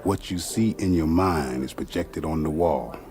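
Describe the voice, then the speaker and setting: An actor playing a laid-back, dreamy, eccentric mystic in a radio drama, talking in a folksy, musing way. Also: male voice, rumbling low, moderate pace, crowd noise. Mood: dreamy, instructive.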